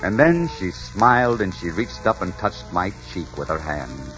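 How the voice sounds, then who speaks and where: An old-time radio conquistador, commanding and romantic, big swings in pitch, dull old recording with music. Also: male voice, very animated, unhurried, fairly bright tone, full character voice. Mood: wistful narration. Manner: dramatic, authoritative. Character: commanding, ardent Spanish conquistador captain and narrator. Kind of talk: 1940s romantic radio adventure acting.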